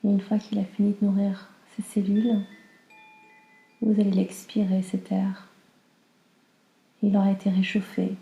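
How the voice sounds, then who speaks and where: A woman guiding a slow relaxation meditation in a calm, soothing, soft and breathy voice that often nears a whisper. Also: mid-range voice, echoey room. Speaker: yoga nidra guide.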